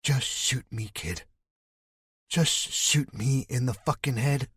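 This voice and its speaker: A man voicing a dying older man who has been bitten, talking frantically with his voice cracking high. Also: slow, a bit bright, breathy edge, dry recording, studio quality, full character voice. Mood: pleading.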